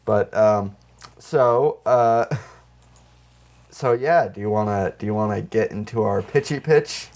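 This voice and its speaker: A man's voice: fairly low pitch, slow pace, creaky, darkish tone. A man co-hosting a podcast in casual, comedic chat, explaining things in a hesitant, conversational way. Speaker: podcast co-host.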